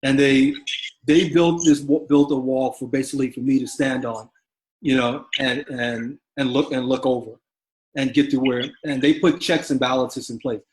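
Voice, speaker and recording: A man's voice: medium pitch, fairly even intonation, normal speed, darkish tone, poor audio. A middle-aged man speaking as an interview guest, a father reflecting earnestly with many restarts and fillers.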